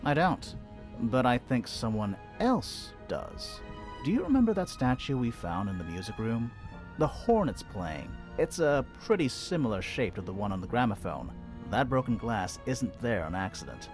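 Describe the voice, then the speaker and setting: An eager male detective from a mystery game, reasoning aloud in a theatrical way. His pitch swings wildly from line to line, and music plays behind him. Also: big pitch swings, normal speed. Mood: explaining, intrigued. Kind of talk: video game voice acting, dialogue. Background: background music.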